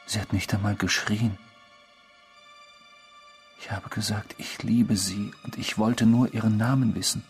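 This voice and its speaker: An actor in a German radio drama playing a self-satisfied, cynical killer who talks in a mocking, theatrical way. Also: male voice, fairly even intonation, a little slow, pauses often, soft background music, lightly acted. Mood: chilling confession. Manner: mocking, theatrical, swinging from hushed to shrill.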